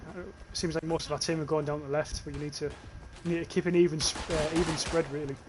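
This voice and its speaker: A man's voice: a little high, little pitch movement, quick pace, background noise. A young British man with a northern English accent, casually commentating his gameplay livestream, swearing offhand and reading chat comments. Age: young adult. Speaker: streamer.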